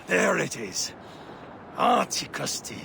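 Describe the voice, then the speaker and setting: A man's voice: sits high, very animated, slow, gravelly, a little hiss or hum, exaggerated voice. A man playing a stern, dutiful shipmate who admonishes and mentors in a dramatic video game. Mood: urgent. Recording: loud music behind.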